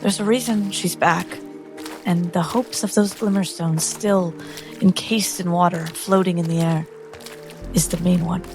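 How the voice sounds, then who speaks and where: A woman's voice: on the low side, steady tone, unhurried, music in the background. A woman playing a tabletop game, describing her character's actions in a storytelling style.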